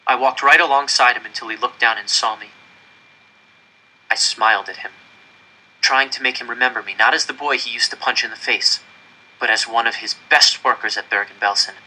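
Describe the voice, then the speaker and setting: A man's voice: medium pitch, fairly quick, sharp tone, low-quality recording. A man reading a first-person story aloud in an earnest, suspenseful narrating manner. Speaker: audiobook-style reader.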